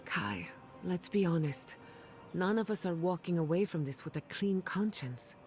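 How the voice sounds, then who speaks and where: A woman's voice: lowish voice, expressive, moderate pace, darkish tone, faint music behind, close to an everyday voice. A woman acting a hard-nosed, resolute female companion in a game cutscene, grave, persuasive and unyielding.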